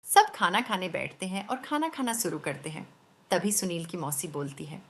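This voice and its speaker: A woman's voice: fairly low pitch, expressive, moderate pace, slightly rough. A woman narrating a scripted story as the storyteller.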